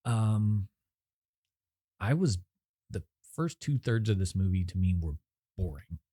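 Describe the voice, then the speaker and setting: A man's voice: lowish voice, animated, slow, warm tone, husky, dry recording, studio quality. A man co-hosting a podcast, giving blunt, opinionated movie reviews in casual chat. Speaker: podcast co-host.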